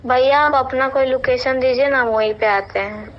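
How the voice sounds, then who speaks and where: Young bihari woman on a call, highish even voice, muffled noisy line. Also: normal speed. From Patna, Bihar, India. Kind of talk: phone conversation, casual answers. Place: phone call.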